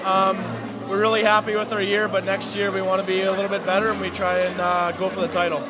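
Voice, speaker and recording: A young man from Canada speaks in a high, flat, even tone, answering questions easily at a busy event. Music and crowd chatter ring around him. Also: fast talker, very noisy. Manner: easygoing, plain-spoken. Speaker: ice hockey player guest. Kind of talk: casual interview answers.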